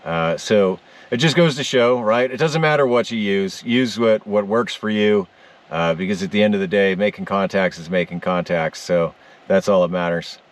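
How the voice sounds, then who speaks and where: Low-voiced man chatting, off the mic. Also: normal speed. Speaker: ham radio vlogger, host. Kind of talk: casual unscripted vlog chat. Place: rural homestead on a rainy day. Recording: distant on-location microphone.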